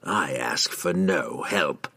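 Man acting a very deep, growling cold assassin. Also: animated, gravelly, breathy edge, full character voice. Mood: guarded, scornful. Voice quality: low growl. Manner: slow, clipped, quiet menace. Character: cold, menacing male assassin, curt and contemptuous.

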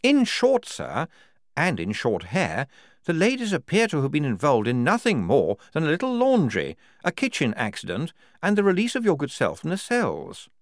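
A man voicing a brisk, tetchy army major in an audiobook, arguing in a formal, precise way. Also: on the high side, wildly swooping pitch, no room sound, studio quality, voice pushed up. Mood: pedantic, assured. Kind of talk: audiobook character dialogue.

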